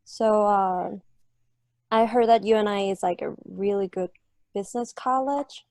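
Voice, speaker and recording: A woman's voice: medium pitch, measured pace, a little mellow, dry recording. Young Thai-accented woman on student panel. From Thailand. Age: early 20s. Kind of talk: informal panel answers.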